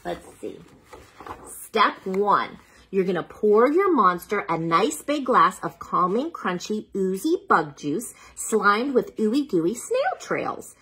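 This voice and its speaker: A woman reading picture books aloud to young children, playful and dramatic, pausing for chatty asides and questions to the listener. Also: medium pitch, big pitch swings, bright, forceful tone, husky, poor audio.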